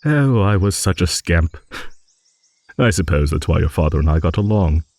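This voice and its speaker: Guy doing a kindly ancient dragon for fantasy audio, rambly old gentleman type, really swoopy intonation, close dry sound. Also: low-pitched, expressive, normal speed, no room sound. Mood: musing, nostalgic. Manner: rambling, hesitant, chuckling old-gentleman musing. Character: ancient genial rambling male dragon, refined and fond of reminiscing. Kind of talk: improvised fantasy audio roleplay monologue.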